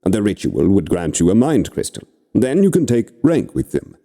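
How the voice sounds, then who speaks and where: A man's voice: fairly low pitch, very animated, moderate pace, vocal fry, dark tone, long reverb tail, pitched above the performer's own voice, full character voice. A man voicing a wry spirit adviser. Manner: dry, explanatory, cautioning.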